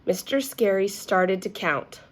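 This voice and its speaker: A woman's voice: mid-range voice, animated, a little slow. A woman reading a children's story aloud expressively, as if to young listeners. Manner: addresses young listeners with questions.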